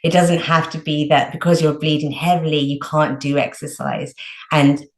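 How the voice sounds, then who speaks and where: A woman with a low voice explains training tips in a loose, chatty way, leaning on filler words as she talks. Her voice carries a roomy echo around it. She sounds like a coach talking easily to a host. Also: steady tone, moderate pace. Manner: chatty, frequent "like" and "you know" fillers. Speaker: women's health coach, guest. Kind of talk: casual explanatory advice.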